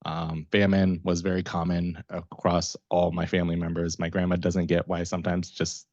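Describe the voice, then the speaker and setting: Deep-voiced young American man, casual. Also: completely flat delivery, normal speed, gravelly, darkish tone, no echo, dull audio. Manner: casual, chuckles often while talking. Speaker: panelist. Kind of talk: informal personal account at a career panel.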